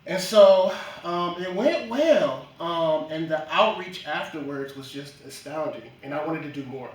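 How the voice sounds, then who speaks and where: A man from Jamaica, a guest in a casual interview, speaking in a measured way with frequent ums and uhs. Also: fairly high pitch, animated. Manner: measured, many ums and uhs, lists achievements. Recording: echoey room from a distance.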